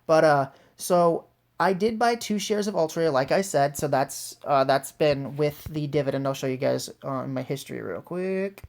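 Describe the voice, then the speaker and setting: A woman's voice: deep voice, moderate pace, darkish tone. A woman with a cold gives casual, enthusiastic vlog commentary as the host of an investing channel. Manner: enthusiastic, informal. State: congested with a cold.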